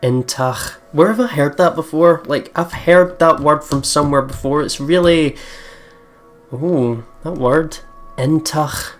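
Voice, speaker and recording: A let's play host giving casual, excitable game commentary and reading a visual novel's narration aloud. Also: medium pitch, unhurried. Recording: game music behind commentary. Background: visual novel game music.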